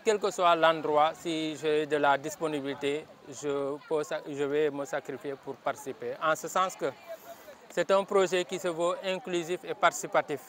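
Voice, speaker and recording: A man's voice: on the high side, fairly bright tone. A man with a Senegalese accent speaks as a local elected official, giving a semi-formal statement in an earnest, emphatic way, repeating phrases for stress. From Casamance, Senegal. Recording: outdoor field recording with background noise.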